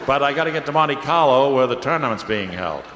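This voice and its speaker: An actor playing a naive, self-important contestant, plain-spoken and earnest, in an old-time radio comedy. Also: male voice, mid-range voice, animated, brisk, fairly bright tone, natural voice. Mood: earnest, boastful. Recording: echoey, noisy old radio recording.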